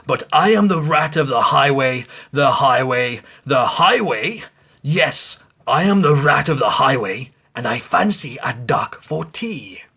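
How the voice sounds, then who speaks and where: A greedy highwayman rat from a storybook, acted out by a man, with a husky edge. He swoops up and down as he bellows scornful commands and stretches out his threats. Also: lively intonation, unhurried, sharp tone, exaggerated voice. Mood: menacing, scornful. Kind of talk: storybook character voice.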